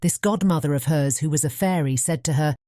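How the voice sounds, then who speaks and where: A woman with a British English accent narrating a fairy tale audiobook in a measured storytelling manner. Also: sits low, warm tone, no echo, clean studio sound.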